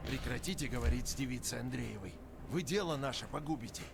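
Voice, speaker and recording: An actor voicing an anxious, pious gentleman who pleads with old-fashioned formality in a visual novel game. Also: mid-range voice, fast, vocal fry, over loud music, close to an everyday voice. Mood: grave warning. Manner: formal, imploring.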